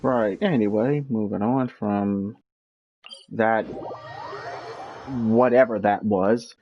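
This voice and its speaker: A man's voice: lowish voice, slow, lo-fi sound, noisy background. A man giving sarcastic, grumbling live commentary as he streams a video game. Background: game music.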